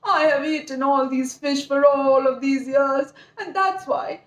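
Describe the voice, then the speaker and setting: A woman's voice: high-pitched, fairly even intonation, unhurried, echoey room. Woman putting on a grumbling, self-pitying old grandpa crane. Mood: mock sorrow. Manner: self-pitying groaning, mock-mournful delivery. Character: grumbling, scheming old male crane in children's storybook.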